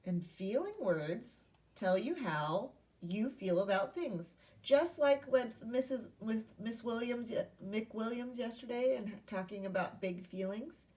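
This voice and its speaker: A woman's voice: fairly high pitch, animated, unhurried, few pauses, darkish tone, far from the mic. A woman teacher giving a read-aloud lesson to young children, speaking in simple instructional phrases and asking questions. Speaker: primary school teacher.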